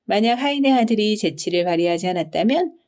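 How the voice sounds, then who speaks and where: Female storybook narrator, soft-toned with lively melody, reading folktales to young children at bedtime. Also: medium pitch, fast talker. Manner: storyteller's expressive, polite explaining delivery.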